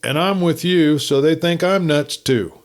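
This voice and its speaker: A man's voice: on the high side, measured pace, voice pushed up. A man voicing an exasperated, plain-spoken fishing buddy who grumbles and needles his friend in a story.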